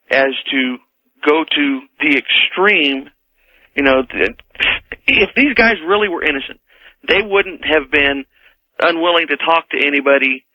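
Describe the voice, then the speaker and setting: A man calling in to a radio show to debate, halting and repeating phrases for emphasis. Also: mid-range voice. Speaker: radio caller. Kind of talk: conversational debate. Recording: dull lo-fi radio call.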